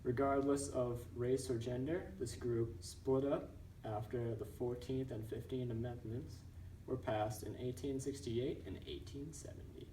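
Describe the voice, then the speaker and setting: A young man reading a scripted school newscast report in a halting, recited manner. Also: medium pitch, fairly even intonation, dark tone. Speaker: student news presenter in a class project. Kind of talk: scripted narration read as a newscast. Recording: roomy, distant mic with background noise.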